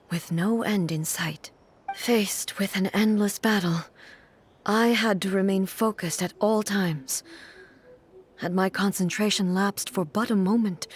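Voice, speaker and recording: A woman voicing a regal, stern female god-ruler in video game dialogue, speaking formally and with measured calm. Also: medium pitch, lightly acted. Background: soft game music.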